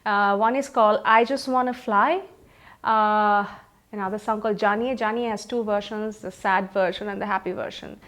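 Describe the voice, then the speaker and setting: A woman, a film playback singer, chatting in an interview about her career and pausing often to recall names. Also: mid-range voice, fairly even intonation, fairly bright tone. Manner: chatty, anecdotal, many pauses to recall names.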